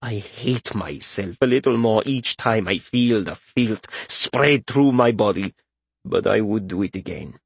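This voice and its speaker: A man voicing a grim older religious zealot in an audiobook, gruff, solemn and defiant. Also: on the low side, a little slow, no room sound, heavily acted. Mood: bitter resolve.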